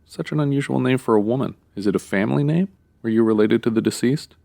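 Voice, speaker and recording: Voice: mid-range voice, quick pace, vocal fry, mellow, natural voice. An actor playing a solemn, unctuous funeral parlor attendant in a comedy audio drama, formal, lugubrious and hesitant.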